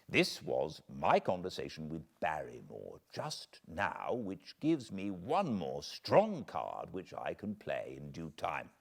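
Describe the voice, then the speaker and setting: A middle-aged English man narrating a classic novel in a measured, expressive reading. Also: extremely low pitch, vocal fry. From Britain. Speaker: audiobook narrator. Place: cozy room by a fireplace.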